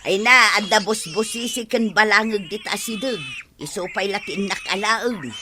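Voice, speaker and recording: An actress playing a plain-spoken, argumentative village wife in an Ilocano radio comedy drama, with an Ilocano accent. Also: female voice, medium pitch, moderate pace, noisy background, close to an everyday voice.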